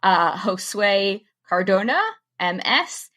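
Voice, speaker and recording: A woman's voice: medium pitch, slow pace. A woman with a slightly nasal voice co-hosting a therapy podcast, chatting casually with frequent laughs. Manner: bubbly, laughs often, lively intonation. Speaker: podcast co-host, social worker.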